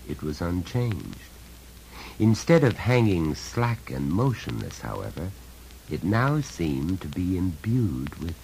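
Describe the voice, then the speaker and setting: Very deep-voiced man narrating a horror story. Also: gravelly, a little mellow, a little hiss or hum, poor audio. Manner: measured, deliberate, dramatic storytelling. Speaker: story reader.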